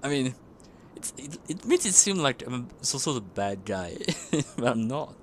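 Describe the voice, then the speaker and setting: A man's voice: mid-range voice, big pitch swings, unhurried, slight background noise. A man doing casual, playful live commentary on a game, often hesitating as he goes. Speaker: game streamer. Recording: game music behind the voice. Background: video game music.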